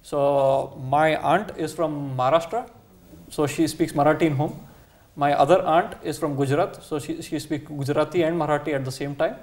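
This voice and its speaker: A young man with an Indian English accent talks as a studio guest, thinking aloud with frequent pauses and fillers. His voice sits a little high for a man. He sounds close-miked in a quiet studio. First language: Kannada. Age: young adult. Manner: hesitant, thinks aloud with many uh's. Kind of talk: casual studio chat. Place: TV studio set, seated on sofa.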